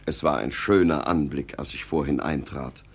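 Deep, husky, dignified provost. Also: male voice, heavily acted. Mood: courteous. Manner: measured, formal. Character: dignified, reproving parish provost. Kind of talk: 1950 radio play acting.

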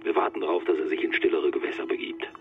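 A terse, matter-of-fact police chief on the phone in a radio drama. His voice is very low and muffled by the line, with music underneath. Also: fairly even intonation, fast. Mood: businesslike, terse. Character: male police chief, businesslike boss on the phone. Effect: phone filter. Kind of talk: naturalistic German radio drama acting.

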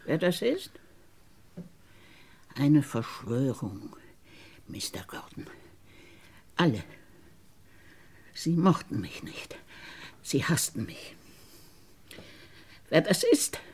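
Husky, gravelly, bitter wronged woman. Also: low voice, huge pitch swings, darkish tone, heavily acted. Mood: insinuating, resentful. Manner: deliberate, halting, insinuating, dropping into whispers. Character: bitter, wronged woman once convicted of murdering her sister. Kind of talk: German radio crime drama acting.

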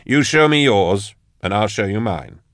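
An eccentric male tech-priest adviser, wry and insistent, as a man acts him out. He talks higher and louder than the narrator's own voice. The sound is dry and close. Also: animated, a little slow, no echo.

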